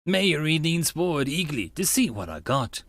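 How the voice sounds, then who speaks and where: A man narrates a first-person story with dramatic, lively intonation. His voice is on the higher side, recorded dry and close. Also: normal speed, no echo.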